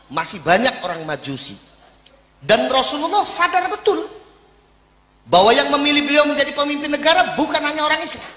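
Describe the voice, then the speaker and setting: A man's voice: sits high, bright, forceful tone. A man from Indonesia preaching publicly in emphatic oratory, driven by rhetorical questions. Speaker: Islamic preacher. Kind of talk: public religious sermon. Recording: echoey hall with long reverb.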